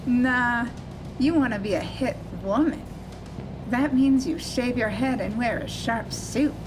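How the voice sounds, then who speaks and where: A high, slow-paced voice over music: a blunt, easygoing call-centre colleague acted by a woman. She trades casual, deadpan teasing in a natural audio drama style. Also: close to an everyday voice, rough recording. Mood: easygoing, wry.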